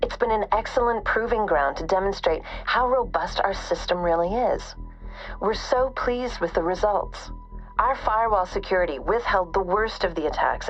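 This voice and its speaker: An actress playing a self-assured corporate spokesperson, giving a formal, reassuring public statement in a video game. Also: female voice, medium pitch, lightly acted. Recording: dull, radio-like band-limited sound.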